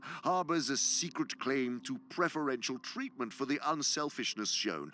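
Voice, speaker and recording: A man's voice: on the low side, gravelly, bright, forceful tone, slight background noise, heavily acted. A man playing a sly, gloating senior demon who lectures pompously for a radio drama, savoring his words and sometimes dropping to a whisper. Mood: smug relish. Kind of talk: theatrical radio drama monologue.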